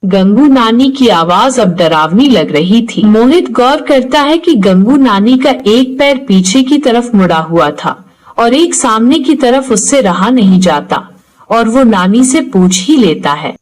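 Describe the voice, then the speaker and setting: A woman's voice: a little high, animated, a little slow, rough recording. A woman narrator reading a scripted horror story in a storytelling style.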